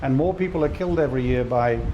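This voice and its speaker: A man's voice: mid-range voice, fast, dull audio, background noise. A man hosting a casual outdoor interview, rambling and correcting himself as he sets up friendly questions. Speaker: interviewer and channel host. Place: beach outdoors.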